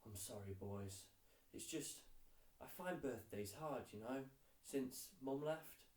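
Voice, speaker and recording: A man's voice: mid-range voice, expressive, takes it slowly, darkish tone. A man voicing a downcast, withdrawn father in a storybook, speaking in a subdued, hesitant way. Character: downcast, withdrawn father, drinking alone on his birthday. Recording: roomy echo, distant mic.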